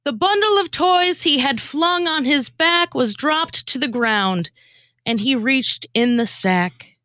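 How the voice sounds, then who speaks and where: Female narrator for comic radio theatre, high bright voice, theatrical, unhurried delivery. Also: expressive, no room sound, studio quality. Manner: theatrical storytelling. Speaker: former co-host and narrator. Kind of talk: scripted rhyming comedy narration, radio theatre.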